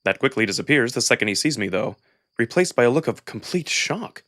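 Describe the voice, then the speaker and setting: A man giving an expressive, dramatic first-person narration of a visual novel. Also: mid-range voice, fairly quick, no echo, studio quality. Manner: expressive, acted-out delivery. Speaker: narrator and voice performer.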